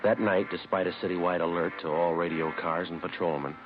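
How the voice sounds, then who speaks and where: An American man playing a terse, businesslike police detective in an old radio crime drama, speaking in a deadpan, matter-of-fact way. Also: low voice, fairly quick, close to an everyday voice. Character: terse, businesslike male police detective narrating his case. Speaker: detective narrator. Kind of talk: 1950s radio crime drama, clipped narration. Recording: muffled vintage radio recording, music behind.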